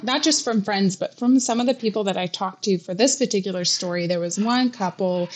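Casting: young woman reporter from Hawaii, casual and chatty, lots of "um" and "like.". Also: lowish voice. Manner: chatty, with many fillers and restarts. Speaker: real estate reporter. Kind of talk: casual podcast interview.

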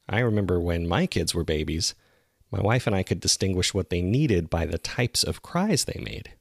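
A man's voice: low-pitched, lively intonation, moderate pace, creaky, no echo, studio quality. A man hosting and narrating a podcast, reading from a script.

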